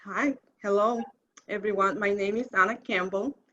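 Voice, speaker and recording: A woman from Honduras introduces herself over a muffled video call. Her voice is bright and crisp, and she speaks steadily and matter-of-factly. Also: medium pitch, steady tone, normal speed. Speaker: program director. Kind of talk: panel self-introduction.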